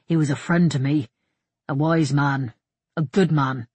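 A narrator playing a gentle but probing monk, a man who speaks softly and gravely with quiet certainty in an audiobook. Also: medium pitch, fairly even intonation, no room sound. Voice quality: soft-voiced. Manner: grave, measured, reassuring. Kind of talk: audiobook character dialogue.

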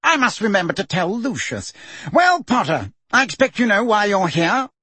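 A man's voice: high-pitched, big pitch swings, moderate pace, sharp tone, slightly rough, no echo, pitched above the performer's own voice, full character voice. A man voicing a pompous older government minister in an audiobook, blustering and heavily sarcastic. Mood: smug.

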